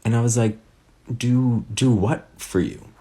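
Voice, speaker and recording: A man's voice: medium pitch, a little slow, warm tone, slightly rough. A man from Canada telling a wry, laid-back story to camera.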